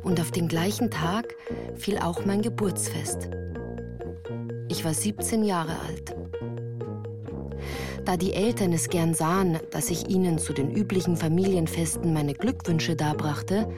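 An earnest storyteller, played by a woman looks back on her girlhood in a radio play. Her voice sits slightly low, with composed, measured literary phrasing, and music plays clearly behind her. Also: natural voice.

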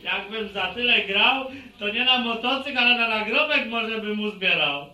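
An actor playing a cocky, money-minded young village musician in a radio drama, wry and boastful. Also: male voice, sits high, expressive, normal speed, sharp tone, heavily acted. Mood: wry, defiant. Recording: roomy, distant, faintly hissy radio recording.

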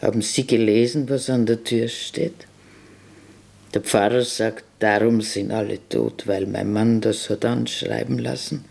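A man's voice: lowish voice, steady tone, unhurried, vocal fry, a little mellow, husky, pitched above the performer's own voice, exaggerated voice. A man voicing an ancient, bitter village innkeeper woman who speaks bluntly in a radio play. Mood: grim. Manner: blunt, curt, grim dialect-tinged speech. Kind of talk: German radio play acting.

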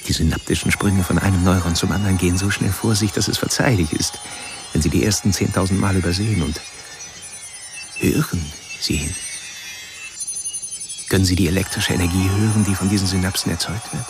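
An actor playing a cold, cerebral killer who narrates his obsessions in a measured, lecturing way in a radio crime drama. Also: deep voice, fairly even intonation. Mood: hypnotic, lecturing. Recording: music under the voice. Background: music.

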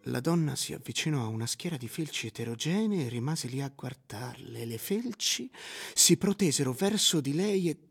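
Flustered, highly animated shopkeeper narrator. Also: male voice, normal speed, vocal fry, husky. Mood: tense narration. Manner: stammering and hesitant in dialogue, dramatic in narration. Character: flustered, fascinated male flower-shop keeper recalling a strange encounter. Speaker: actor and narrator. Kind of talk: first-person radio drama narration with acted dialogue.

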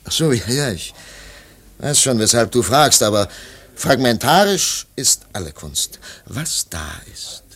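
An actor in a German radio play voicing a disillusioned, wistful male artist who talks in a theatrical, halting way. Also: medium pitch, big pitch swings, fairly bright tone. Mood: musing, fervent.

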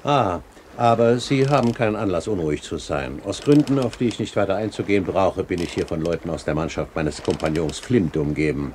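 An actor playing a courteous, assured older pirate captain, speaking formally and eloquently in a 1970s German radio play. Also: male voice, low-pitched, brisk, darkish tone, slight background noise, close to an everyday voice. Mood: smooth, reassuring.

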